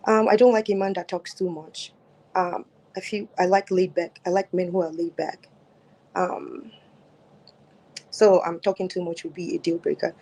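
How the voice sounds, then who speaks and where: A woman's voice: lowish voice, steady tone, normal speed, poor audio. A woman in her thirties with a Nigerian accent, answering dating questions casually on a phone at home, with lots of fillers and restarts. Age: 30s. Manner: soft-spoken, many fillers and restarts. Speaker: guest on a dating show. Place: home, mid-move.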